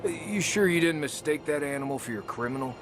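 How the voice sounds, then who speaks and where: A man's voice: fairly high pitch, lively intonation, a little fast, lightly acted. A man voicing an evasive, nonchalant fellow playing dumb in a video game, with feigned puzzlement. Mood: feigned innocence. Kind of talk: video game character acting. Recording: clear background ambience noise.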